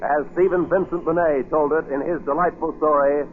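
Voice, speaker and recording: A man's voice: fairly high pitch, steady tone, moderate pace, slight background noise. A man announcing a radio show in a theatrical, portentous 1940s style, on a vintage broadcast recording. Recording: muffled 1940s radio recording with loud music. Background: loud dramatic music.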